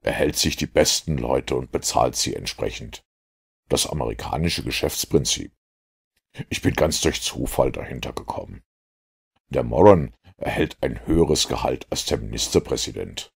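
A man voicing a self-assured master detective who lectures in a measured, superior way in an audiobook. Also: a bass voice, animated, creaky, slightly rough, heavily acted. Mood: lecturing, assured. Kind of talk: audiobook character dialogue.

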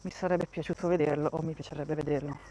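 A woman's voice: low voice, steady tone, fast talker, dark tone, slight background noise, rough recording. A woman giving a casual walking tour of a campground, thinking aloud and often restarting her sentences, recorded on a handheld phone outdoors. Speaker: vlogger touring a campsite.

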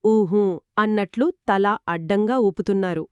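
A woman's voice: mid-range voice, unhurried, mellow, smooth, no room sound, highs cut off. A woman co-narrating a children's moral story in a conversational storytelling style. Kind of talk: conversational two-person storytelling.

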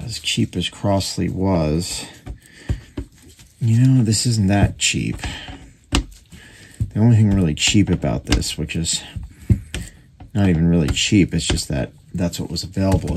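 Slow, very low creaky-voiced man. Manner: unscripted, halting, thinking aloud while working. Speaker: radio repairer demonstrating his work. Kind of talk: casual hands-on repair commentary. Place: indoor workbench. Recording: noisy workshop recording with clicks.

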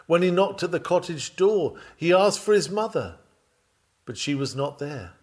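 A man reading an old-fashioned sermon dramatically, with solemn, fervent and measured oratory. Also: a little high, very animated, a little mellow, breathy edge. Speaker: sermon reader.

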